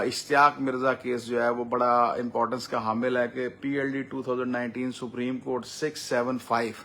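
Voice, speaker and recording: A man's voice: mid-range voice, fairly bright tone, slight background noise. Pakistani man explaining in lecture style. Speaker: lawyer giving a legal explainer. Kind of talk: explanatory lecture, semi-formal.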